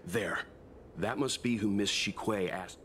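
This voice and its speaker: An actor playing a calm, serious, thoughtful middle-aged man in a video game, speaking in a measured, earnest way. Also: on the low side. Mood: watchful, urgent. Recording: noisy game ambience behind voice.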